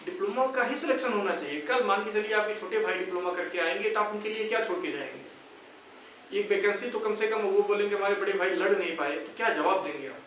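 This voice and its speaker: A man's voice: high voice, fairly quick, a bit bright. A young man with a North Indian accent from Uttar Pradesh, speaking informally to viewers in an earnest, exhorting, repetitive way. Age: young adult. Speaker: YouTuber addressing exam candidates. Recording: very echoey room, distant mic, faint noise.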